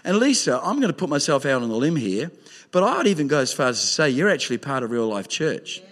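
A man's voice: mid-range voice, sing-song, fast talker, fairly bright tone. Upbeat pastor rallying his congregation. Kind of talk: introducing a guest speaker at a service. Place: church hall.

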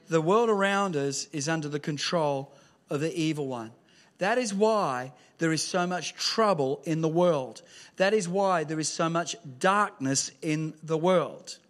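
A pastor with a high voice preaches to his congregation. He tells stories with humour and punches key lines with a raised voice. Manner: lively storytelling with humour, rising to shouts. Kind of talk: sermon. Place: church hall with screen.

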